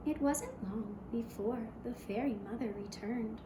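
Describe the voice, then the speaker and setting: A woman narrating a fairy tale for a puppet show in a gentle, hushed, deliberate storytelling manner. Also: on the high side, echoey room, noisy background. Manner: slow, hushed, deliberate storytelling in short phrases.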